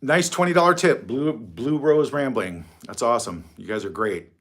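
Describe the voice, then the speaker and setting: A man's voice: mid-range voice, animated, moderate pace, fairly bright tone. A middle-aged man hosting a casual livestream from his phone, rambling, mocking and swearing freely. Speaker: livestream host.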